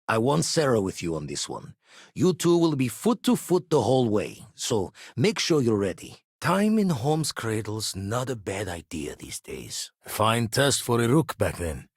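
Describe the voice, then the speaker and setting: Game role: seasoned ex-pirate space explorer, gruff and wry, rough husky tone, very animated inflection, slangy turns of phrase. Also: male voice, medium pitch, measured pace, no room sound, clean studio sound. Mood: wry, reflective. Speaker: video game voice actor. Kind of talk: scripted game character dialogue.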